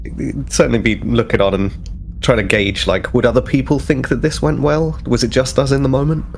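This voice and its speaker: Medium-pitched male tabletop game player. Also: fairly even intonation, brisk, gravelly, a little hiss or hum. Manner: tentative, self-correcting. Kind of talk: casual in-game discussion.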